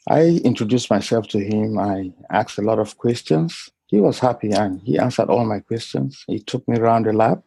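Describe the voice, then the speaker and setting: A middle-aged man from Cameroon telling his life story in an interview, polite and unhurried. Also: lowish voice, expressive, creaky, dark tone, slightly rough, dry recording. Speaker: guest, clinical lab scientist. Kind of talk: casual interview.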